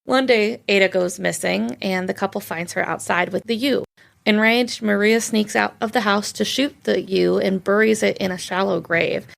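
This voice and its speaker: Woman podcaster with very creaky voice. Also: medium pitch, steady tone, normal speed. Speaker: podcast co-host. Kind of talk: casual film discussion mixed with read plot summary.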